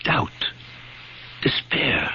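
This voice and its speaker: A man's voice: extremely slow, pushed and bright, exaggerated voice. An actor playing an earnest, troubled friend, with dramatic, hesitant delivery in a radio mystery play that he also narrates. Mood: troubled, hushed. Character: earnest, troubled male friend recounting eerie events. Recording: dull, noisy vintage radio broadcast.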